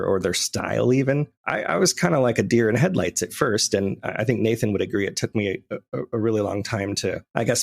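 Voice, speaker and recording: A man's voice: mid-range voice, creaky. A man in a casual interview as a podcast creator, self-deprecating and hesitant in his phrasing.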